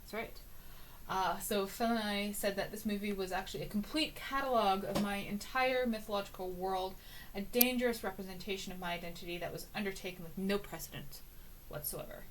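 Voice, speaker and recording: A woman reading aloud from book notes in a casual, musing way, with many ums and uhs, often trailing off softly. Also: medium pitch, steady tone, brisk, slight background noise, low-quality recording. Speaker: book reader and annotator.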